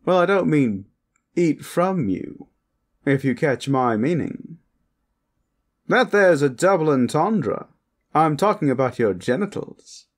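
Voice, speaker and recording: A man's voice: fairly high pitch, lively intonation. A man voicing a lecherous, flamboyant vampire protector in a comedic audio roleplay, smug, pompous and suggestive. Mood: flirtatious, reassuring. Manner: theatrical, drawn-out, suggestive, pompous. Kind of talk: comedic character roleplay monologue, talking to the listener.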